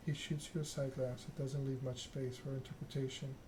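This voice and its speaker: A man's voice: mid-range voice, little pitch movement, a little fast. Evenly spoken man narrating, noisy background. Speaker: let's play host reading game text aloud. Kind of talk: ASMR narration of a visual novel.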